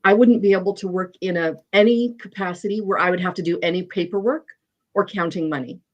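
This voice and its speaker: A woman in her forties sharing openly in a casual recovery meeting, talking haltingly with many pauses. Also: on the low side, muffled recording. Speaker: meeting participant. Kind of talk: casual chat.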